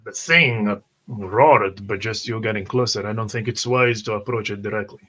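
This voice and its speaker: A man's voice: fairly low pitch, moderate pace, bright, forceful tone. A man playing a tabletop roleplaying game, describing his character's actions in a hesitant, thinking-aloud way. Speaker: player.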